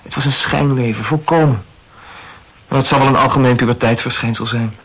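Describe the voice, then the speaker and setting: An actor playing a brooding, wryly morbid male poet in a Dutch radio play, speaking reflectively and haltingly in a gravelly voice. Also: mid-range voice, steady tone, fairly bright tone, poor audio. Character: brooding, self-absorbed male poet, wryly morbid about his youth.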